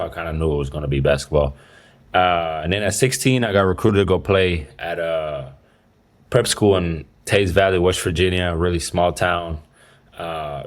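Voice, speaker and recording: Twenties male athlete guest, low-pitched, easygoing, rambling, full of fillers. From Dominican Republic and Belgium. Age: 20s. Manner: relaxed, rambling, many fillers and restarts. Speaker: professional basketball player, guest. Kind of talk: casual interview answers.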